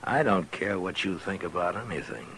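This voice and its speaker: An actor playing a tough, commanding American frontier marshal in 1950s western radio style, deliberate, blunt and dryly menacing. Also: male voice, deep voice, animated, a bit bright. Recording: noisy old radio recording.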